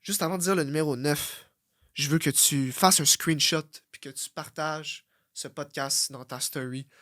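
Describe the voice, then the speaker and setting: A young man with a Québécois French accent, hosting a blunt, profane motivational podcast that swings from loud outbursts to low muttering. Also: steady tone, normal speed, dry recording, studio quality. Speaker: fitness coach and podcast host.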